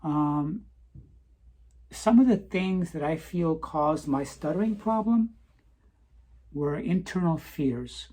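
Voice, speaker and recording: A middle-aged woman telling her own life story in a slow, hesitant way, often pausing with "um" and restarting her words. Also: low-pitched, warm tone, breathy edge. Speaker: vlogger. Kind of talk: personal confessional monologue.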